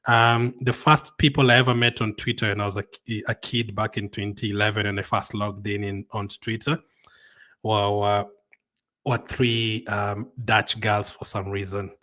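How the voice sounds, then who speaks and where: Bright, deep-voiced man chatting on stream. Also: flat delivery, normal speed. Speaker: livestream host. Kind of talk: casual chat, reading and answering viewer comments.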